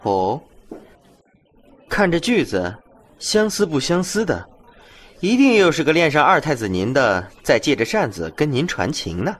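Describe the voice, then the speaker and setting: An actor playing a teasing, gossipy guest in a costume audio drama, full of insinuation. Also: male voice, a little high, sing-song, unhurried, long pauses.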